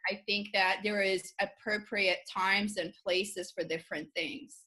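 A woman hosting a podcast, chatting casually and telling things in an animated, candid way. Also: medium pitch, measured pace, low-quality recording. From Albania. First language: Albanian. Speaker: podcast host. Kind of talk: casual conversational interview.

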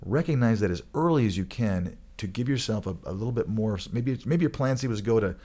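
A man's voice: fairly low pitch, expressive, a little fast, mellow. A man from Michigan co-hosting a casual chat, rambling and joking, often restarting his sentences. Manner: rambling, joking, self-correcting. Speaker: co-host.

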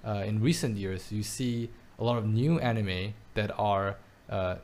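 A man's voice: lowish voice, fairly even intonation, measured pace, darkish tone. A man raised in Belgium, chatting casually and often restarting his words. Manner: hesitant, frequent restarts and repeated words. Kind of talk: casual chat. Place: his home, lives in Taiwan.

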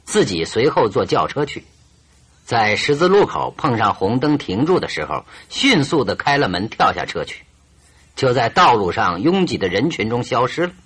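An actor playing a shrewd, confident detective in a radio drama, reasoning aloud in a theatrical, emphatic and deliberate way. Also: male voice, medium pitch, big pitch swings, fairly bright tone, husky, exaggerated voice. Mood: confident, accusing. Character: shrewd, confident male detective, stern yet teasing. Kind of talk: Chinese radio drama acting, expository monologue.

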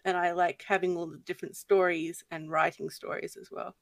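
Woman, slight lisp, deep-ish voice, hesitant guest chat, dry close sound. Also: level tone, fairly quick, gravelly, no room sound. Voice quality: voice on the deeper end for a woman. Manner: soft-spoken, hesitant with frequent ums. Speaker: guest narrator. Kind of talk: casual podcast chat.

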